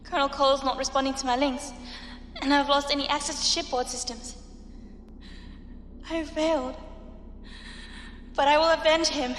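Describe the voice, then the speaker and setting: A woman's voice: high-pitched, flat delivery, moderate pace, pauses often, fairly bright tone. A woman playing a defiant, fanatically loyal starship villain in a sci-fi audio drama. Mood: bitter resolve. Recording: echoey reverberant hall, faint noise.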